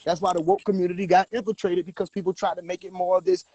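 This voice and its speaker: High-voiced preachy man lecturing his viewers. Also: flat delivery, fast, dry recording, highs cut off. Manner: forceful, preachy, addresses viewers directly. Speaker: livestream host. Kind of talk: casual livestream talk.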